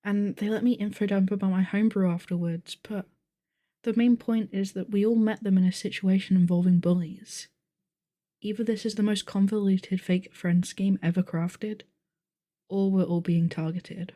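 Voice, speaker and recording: A woman playing a calm, bookish young monster game master in an audio roleplay, measured, articulate and formal. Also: medium pitch, dry recording, close to an everyday voice. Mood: composed, wry. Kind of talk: acted audio roleplay, narrating a tabletop game.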